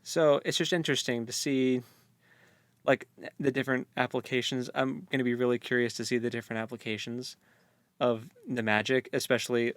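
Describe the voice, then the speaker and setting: A man's voice: mid-range voice, fairly even intonation, brisk, fairly bright tone, dry recording. A man co-hosting a book discussion in a casual, meandering way, repeating words as he goes. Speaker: co-host of a book discussion show.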